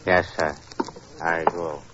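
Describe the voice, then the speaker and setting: Low slow attendant in old radio play, guarded, few words, muffled. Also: male voice, little pitch movement. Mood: guarded, servile. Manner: terse broken English. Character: low-voiced Chinese opium den attendant, servile, guarded. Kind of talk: 1940s radio acting. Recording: muffled, noisy 1940s radio recording.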